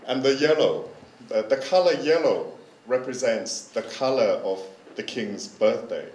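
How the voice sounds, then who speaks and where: Slow, halting man in an echoey hall. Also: mid-range voice, lively intonation, a little mellow. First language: Dutch. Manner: hesitant, many repeated words and fillers. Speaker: curator giving a forum presentation. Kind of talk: conference talk. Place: forum lecture hall. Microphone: handheld microphone. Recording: roomy hall sound from a distant mic, faint noise.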